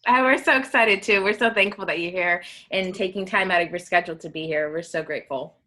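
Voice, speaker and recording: A woman in her twenties co-hosting a podcast, speaking in a soft, reflective way. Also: on the low side, fairly quick. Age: 20s. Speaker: podcast co-host. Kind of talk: casual interview chat.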